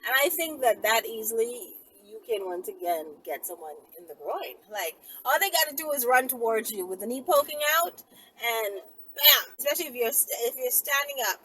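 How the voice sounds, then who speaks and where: A woman vlogger with a somewhat high voice speaks off the cuff in a vehicle. She thinks aloud in a casual, conversational way, leaning on words for emphasis. Light noise sits behind her voice. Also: lively intonation, normal speed, bright, forceful tone, rough recording. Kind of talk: casual rambling solo talk. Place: inside a vehicle in traffic.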